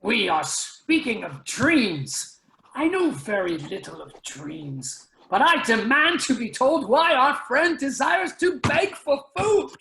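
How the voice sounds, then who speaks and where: Slow, blustering woman acting an indignant government official. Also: high voice, sing-song, creaky, fairly bright tone, echoey room, full character voice, poor audio. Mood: indignant, demanding. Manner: declamatory, theatrical. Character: blustering, indignant, scowling futuristic government official named Smit. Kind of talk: dramatic reading of science fiction. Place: online video call reading.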